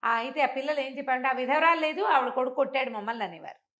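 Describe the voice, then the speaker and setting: An older woman telling a traditional moral story in a conversational interview, acting out the characters' speech with lively jumps in voice. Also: very fast. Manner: animated, acts out quoted speech with big pitch jumps.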